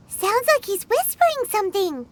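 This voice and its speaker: A woman voicing a tiny, excitable childlike fairy companion in a video game, squeaky and perky. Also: a little slow, background noise, full character voice. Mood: worried, puzzled. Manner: perky, exclaiming, speaks of self by name. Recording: game music behind.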